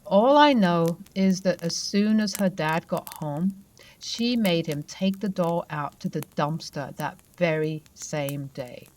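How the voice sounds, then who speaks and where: A woman narrating true ghost stories in a soft, conversational way. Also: on the low side, slow, low-quality recording. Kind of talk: storytelling narration.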